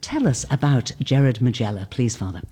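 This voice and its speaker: Lively, mellow-voiced interview presenter. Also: medium pitch, a little fast, husky. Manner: warmly inquisitive, scripted introductions. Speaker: radio presenter. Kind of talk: hosting an interview.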